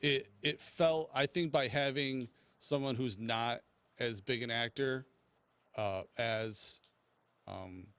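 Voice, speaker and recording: A man co-hosting a casual film podcast, explaining his views in a halting, measured way. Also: medium pitch, fairly bright tone, smooth, no echo. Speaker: podcast co-host.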